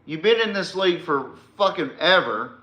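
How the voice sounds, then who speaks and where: A man's voice: sits high, animated, normal speed, bright, forceful tone. A man hosting a sports podcast, giving a blunt, slangy, opinionated rant with swearing and emphatic direct address. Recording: echoey, reverberant room.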